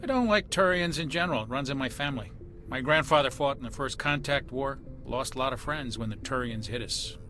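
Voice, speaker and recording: A man's voice: medium pitch, brisk, pushed and bright, faint music behind, natural voice. An actor playing a wary, plain-spoken male military ship's officer in a video game, respectful but emphatic and suspicious.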